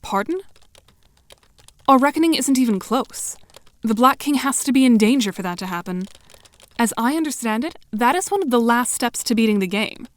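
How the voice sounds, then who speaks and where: A curt, sardonic teenage girl acted by a woman speaks in clipped, articulate phrases for an audio drama. The voice sits fairly high, with faint noise and clicks on the recording. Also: expressive, normal speed, close to an everyday voice. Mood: skeptical, curt. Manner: clipped, sardonic, wordy diction.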